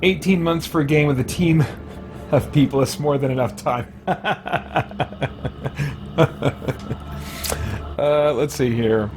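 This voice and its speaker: A man's voice: fairly high pitch, slow pace, pauses often, music in the background. A man doing casual, sardonic let's play commentary on a livestream, with some theatrical roleplay. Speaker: game livestream host. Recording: clear background game noise. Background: video game ambience.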